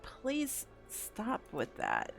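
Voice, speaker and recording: A woman's voice: fairly high pitch, huge pitch swings, extremely slow, creaky, faint music behind. A woman giving casual video game playthrough commentary, thinking aloud with mild exclamations.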